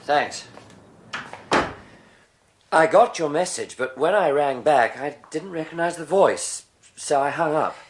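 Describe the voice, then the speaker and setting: An actor playing a concerned, inquisitive family friend in a British radio drama, with an earnest, questioning manner. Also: male voice, a little high, animated, slow, long pauses. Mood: concerned, puzzled. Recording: faint noise on radio broadcast.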